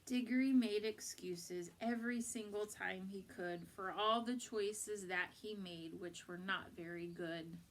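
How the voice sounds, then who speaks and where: A woman reading a picture book aloud to children in an expressive, deliberate, instructive way. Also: medium pitch, a little hiss or hum, rough recording. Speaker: storybook reader for children.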